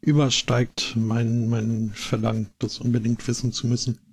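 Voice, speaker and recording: A man's voice: mid-range voice, flat delivery, moderate pace, darkish tone, slight background noise. A man co-hosting a casual podcast, dropping in brief dry remarks.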